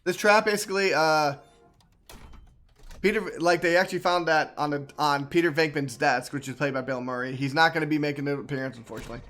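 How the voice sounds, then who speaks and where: A man's voice: on the high side, fairly even intonation, quick pace, a bit bright. A man streaming casual, rambling commentary on game cutscenes, enthusiastic and often repeating himself.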